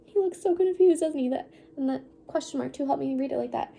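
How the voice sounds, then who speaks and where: A woman's voice: high voice, fast talker, vocal fry, dark tone. A woman presenting a picture book read-aloud for children, informally explaining and putting questions to her listeners.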